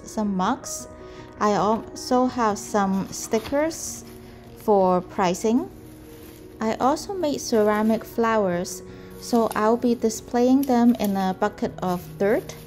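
A woman in her thirties, a potter, calmly explaining her market packing in a gentle vlog narration. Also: mid-range voice. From Canada. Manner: slow, gentle, careful delivery. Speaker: potter vlogger. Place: home room indoors. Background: background music.

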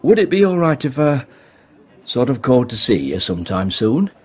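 A husky, brash young upstart. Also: male voice, mid-range voice, very animated, normal speed, a little mellow. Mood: cocky, insistent. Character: brash, ambitious, socially awkward self-made young man. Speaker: actor in an audio play. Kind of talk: British radio drama acting.

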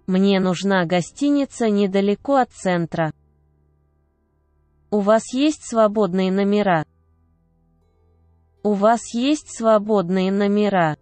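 Slow, mellow-voiced woman reading phrases. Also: mid-range voice, dry recording, soft background music. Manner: measured, clearly enunciated phrases. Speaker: Russian phrase reader.

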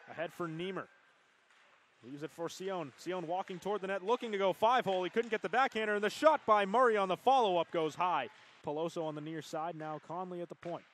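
A man calling hockey play-by-play, rattling off passes and shots and surging loud whenever a goal goes in. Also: high-pitched. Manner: rapid calls that surge loud on goals. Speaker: play-by-play commentator.

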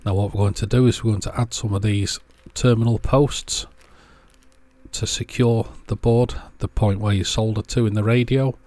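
Guy with a lowish voice doing a casual how-to, understated, talks thru it step by step. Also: fairly even intonation, normal speed, mellow, dry recording. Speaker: presenter of an electronics repair channel.

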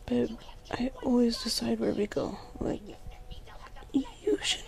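A young woman doing girlfriend ASMR roleplay in a soft, sleepy voice that often drops to a whisper, murmuring affectionately and drowsily. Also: fairly high pitch, big pitch swings, takes it slowly, vocal fry. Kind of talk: ASMR girlfriend roleplay, casual intimate chat.

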